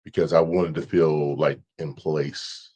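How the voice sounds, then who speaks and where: A man with an extremely low, deep voice talks casually as a podcast guest. He speaks haltingly, restarting and repeating words as he thinks aloud. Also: little pitch movement, mellow, slightly rough. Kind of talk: casual conversation.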